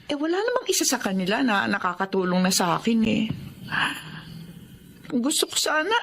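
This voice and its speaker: A woman's voice: fairly high pitch, sing-song, normal speed, creaky, fairly bright tone, heavily acted. A woman playing a worried, long-suffering older mother in a drama, plaintive and earnest as she speaks to and about her grown children. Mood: sad, weary. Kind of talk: Filipino radio-style drama acting.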